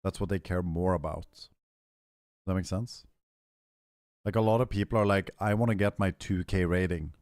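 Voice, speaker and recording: Hoarse low-voiced male game streamer. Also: fairly even intonation, normal speed, pauses often, darkish tone, no room sound, studio quality. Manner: rambling, frequent throat clearing. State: hoarse, losing his voice. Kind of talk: casual stream chat, answering chat.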